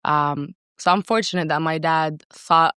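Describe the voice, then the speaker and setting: A woman's voice: deep voice, steady tone, unhurried, fairly bright tone, clean tone, no echo, studio quality. A woman from Saudi Arabia talking casually and self-assuredly as a guest in an interview, with emphatic delivery. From raised in Saudi Arabia. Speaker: podcast guest, entrepreneur.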